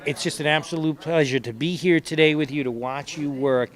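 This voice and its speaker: A man's voice: on the high side, a little fast. A man hosting on-location interviews in an upbeat, effusive and affirming manner. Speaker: interviewer and show host.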